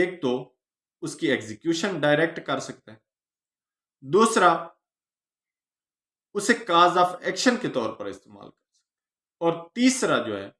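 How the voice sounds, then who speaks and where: Slow-paced Pakistani man lecturing, lively pitch. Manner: didactic, phrase by phrase with pauses. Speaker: law lecturer. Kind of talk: explanatory legal lecture. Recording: echoey room.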